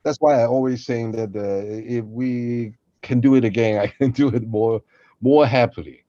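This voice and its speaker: A man chatting casually as a co-host, with halting, non-native phrasing. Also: medium pitch, steady tone, mellow, dry recording, lo-fi sound. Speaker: podcast co-host, amateur voice actor. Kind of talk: casual behind-the-scenes chat.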